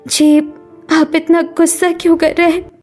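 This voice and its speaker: Woman acting a meek, high-voiced young wife. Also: little pitch movement, measured pace, soft background music. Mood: timid. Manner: soft-spoken, deferential, tearful. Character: meek, dutiful, hurt young daughter-in-law.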